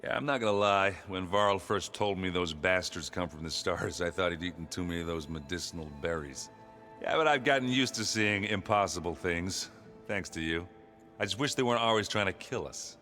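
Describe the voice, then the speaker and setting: An actor playing a gruff, good-natured warrior in a video game, talking in a blunt, rambling, self-deprecating and jokey way. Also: male voice, deep voice, fairly quick, pushed and bright, faint music behind. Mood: wry, animated.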